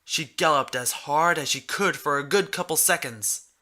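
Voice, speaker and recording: A man's voice: a little high, normal speed, bright, forceful tone. A man reading a piece of fan fiction aloud as a scripted narration.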